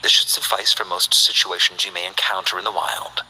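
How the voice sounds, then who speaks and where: A principled, stern inventor from a video game. His voice is low and heavily breathy, with a sharp, forceful edge. He speaks in formal, carefully measured phrases. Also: level tone, full character voice, poor audio. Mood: reserved warmth. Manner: formal, precise, measured diction. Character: stern, principled male scientist and weapons inventor, formal and dutiful. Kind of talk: scripted video game character voice lines.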